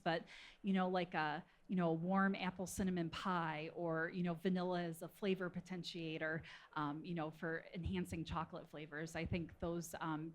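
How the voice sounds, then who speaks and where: A woman speaking as an expert panel guest, explaining her work in a casual, conversational way. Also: on the low side. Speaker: panel guest, food scientist.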